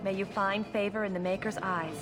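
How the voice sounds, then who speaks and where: A woman's voice: mid-range voice, steady tone, a little fast, lightly acted. An actress playing a solemn female priest in a fantasy video game, speaking blessings in a formal, ceremonial way. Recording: loud game music over voice.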